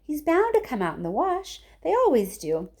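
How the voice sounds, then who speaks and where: A woman voicing a gleeful, excitable, whimsical chocolate factory owner, an older man, in a storybook reading full of exclamations. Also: high voice, moderate pace, pitched above the performer's own voice, exaggerated voice. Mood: excited, hurried.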